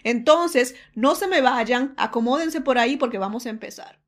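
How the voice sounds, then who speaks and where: Woman podcast host, teasing and chatty, slightly high voice. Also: moderate pace. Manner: chatty and teasing, calls listeners "señores" and "mi amor". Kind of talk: casual talk to listeners.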